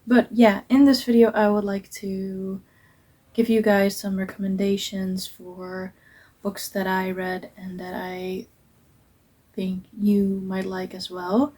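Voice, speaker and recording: A woman's voice: medium pitch, flat delivery, slow, dark tone. A young woman whose first language is Dutch, talking through her book picks in an earnest, hesitant way with many ums. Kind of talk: casual book review vlog.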